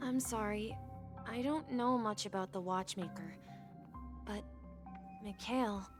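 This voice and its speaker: A woman's voice: medium pitch, slow, lightly acted. A woman voicing an earnest, polite young boy in a video game, wistful and a little hesitant. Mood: apologetic, hesitant. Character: earnest young boy dreaming of adventure, devoted grandson. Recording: game music underneath. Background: game music.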